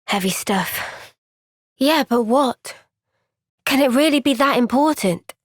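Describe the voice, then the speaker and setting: An actress playing an earnest, gentle but firm young woman fighter in a video game, speaking in a measured, sincere way. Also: sits high, expressive, dry recording, studio quality, exaggerated voice. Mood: pensive. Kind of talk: video game cutscene voice acting.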